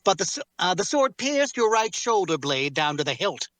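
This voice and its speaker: A man playing a formal, guarded cursed warrior in an audio drama table read, speaking courteously in measured, deliberate phrases. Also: high-pitched, big pitch swings, a bit bright, no echo. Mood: matter-of-fact.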